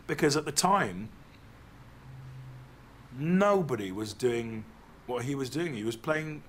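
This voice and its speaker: A man speaking in a documentary interview, reflective and lively, recorded in a living room. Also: medium pitch, takes it slowly, slight background noise. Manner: reflective, mimics voices when quoting. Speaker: interviewee, comedian.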